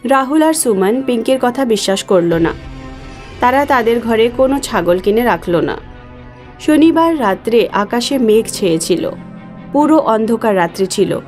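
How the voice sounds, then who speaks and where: A woman's voice: on the high side, lively intonation, a little slow, a little mellow, faint music behind. A woman reading scripted story narration as the narrator.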